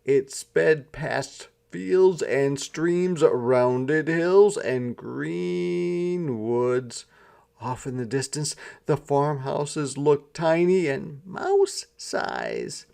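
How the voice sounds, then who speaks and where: A man reading a children's story aloud as an expressive storyteller, with deliberate, dramatic phrasing. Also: fairly high pitch, creaky. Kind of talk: expressive scripted storybook narration.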